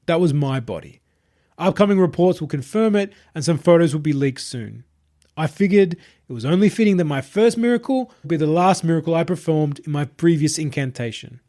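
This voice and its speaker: A man voicing a smooth, possessed internet idol who preaches to followers with calm, husky, grandiose assurance in an audio short story. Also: a little high, fairly quick, voice pushed up, close to an everyday voice. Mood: soothing, preaching. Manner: calm, composed, grandiose, soothing patter to followers.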